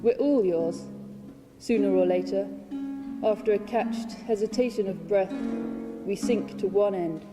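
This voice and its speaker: A woman reads poetry dramatically at a slow, deliberate pace, leaving weighted pauses. Her voice rings in a large hall, with plucked string music clearly audible behind her. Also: medium pitch. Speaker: reader of own poetry translation. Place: large hall at a public reading.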